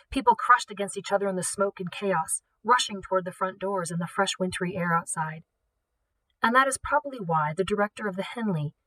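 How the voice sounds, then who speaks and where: A woman reading a story aloud in an expressive, scripted narration style. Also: on the low side, rough recording. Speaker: audiobook reader, teacher.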